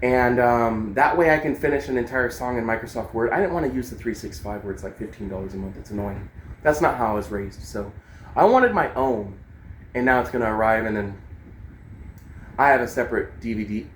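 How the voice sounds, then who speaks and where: A man's voice: medium pitch, expressive, fairly quick, slight background noise, low-quality recording. A man chatting informally and ramblingly to camera, with slangy, sweary asides as he shows things off. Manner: informal, swearing, slangy, talking as they demonstrate gear. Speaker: vlogger and amateur songwriter. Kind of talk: casual rambling chat to camera.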